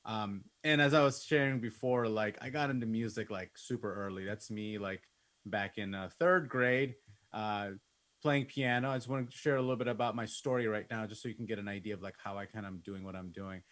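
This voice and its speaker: A man's voice: lowish voice, fairly even intonation, fairly quick, fairly bright tone. A man in his thirties from Bangladesh, a musician talking informally and conversationally to an online class. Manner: informal, conversational, peppered with like and you know. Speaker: musician and music producer giving a masterclass. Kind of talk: casual autobiographical talk to an online class. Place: indoors on a video call.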